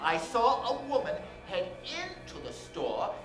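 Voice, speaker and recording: A woman's voice: fairly high pitch, huge pitch swings, unhurried. A woman playing a flustered, eager amateur sleuth who stammers and repeats herself in a stage radio drama. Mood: conspiratorial. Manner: stammering, breathless repetition. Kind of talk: 1940s-set radio drama acting on stage. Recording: echoey theater with loud music.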